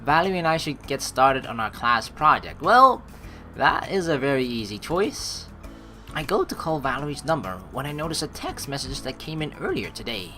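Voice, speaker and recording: A woman with a low voice plays through a story game. She reads aloud and comments in a very animated, dramatic way, her pitch moving widely. Faint game music runs behind her. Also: normal speed. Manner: expressive, dramatic reactions. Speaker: let's play streamer.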